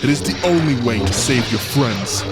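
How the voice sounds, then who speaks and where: A man's voice: mid-range voice, lively intonation, moderate pace, loud music behind the voice, lightly acted, rough recording. A man playing a fallen Sith lord and father in a fan audio drama, solemn, persuasive and theatrical. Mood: commanding, tempting.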